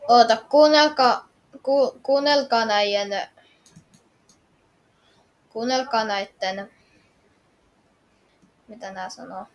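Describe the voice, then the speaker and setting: Woman, fairly high voice, casual improvised game role-play, hesitant, questioning. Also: pauses often, lo-fi sound, slight background noise. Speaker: gamer playing through a story.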